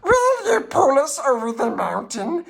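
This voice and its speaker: Excitable little toy creature: shrill, scratchy, needy, swooping all over. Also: male voice, measured pace, exaggerated voice. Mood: desperate pleading. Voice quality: raspy, strained high voice. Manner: whining, theatrical pleading. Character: small pleading toy clown and dolls, excitable, needy.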